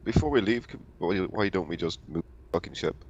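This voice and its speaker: A man's voice: low voice, a little fast. A man chatting casually as a player in a tabletop roleplaying game. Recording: dull, slightly noisy voice recording.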